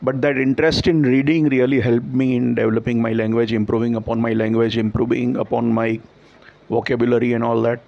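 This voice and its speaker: Gravelly Gujarati-accented Indian man, reflective. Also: mid-range voice, flat delivery, fairly quick, lo-fi sound. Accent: Gujarati-influenced Indian English. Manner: thoughtful, explaining with examples and self-corrections. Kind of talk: reflective conversational talk.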